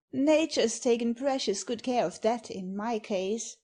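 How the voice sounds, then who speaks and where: An actress playing a sharp-tongued young woman in an audio stage satire, slangy and mocking in manner. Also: on the high side, animated, takes it slowly. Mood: grave, wry.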